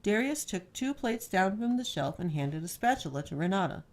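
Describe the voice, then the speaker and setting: A woman's voice: mid-range voice, very animated, normal speed. A woman storyteller reading scripted fiction narration aloud.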